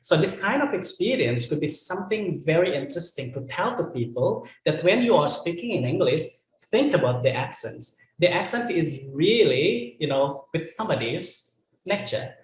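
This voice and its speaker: A man's voice: a little high, moderate pace. Indonesian-accented man lecturing in an echoey room. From Banten, Indonesia. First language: Bantenese/Indonesian. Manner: relaxed, fillers like "you know". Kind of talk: online lecture.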